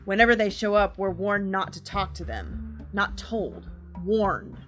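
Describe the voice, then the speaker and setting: A jittery, streetwise young woman confides in detectives in a horror radio drama. She speaks at a middle pitch in an anxious, hurried way, with faint music underneath. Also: sing-song, a bit bright. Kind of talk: audio drama acting.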